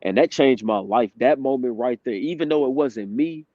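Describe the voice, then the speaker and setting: A man's voice: medium pitch, moderate pace, no echo. A man in his twenties tells his own story in a candid, casual way, laughing now and then. His voice sits in a medium range. The recording is dry and a bit dull. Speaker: guest. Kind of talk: casual testimony interview.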